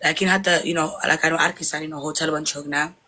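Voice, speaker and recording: Young woman, bright tense voice, hesitant. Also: deep voice, fairly even intonation, fast talker. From Somalia. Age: 20s. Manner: hesitant, mixing Somali and English mid-sentence. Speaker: interviewee. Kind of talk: casual conversation.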